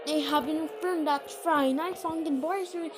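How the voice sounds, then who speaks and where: A woman's voice: sits high, fairly even intonation, moderate pace, loud music behind the voice. A woman reading a scripted emergency broadcast announcement in a formal, stilted, solemn way.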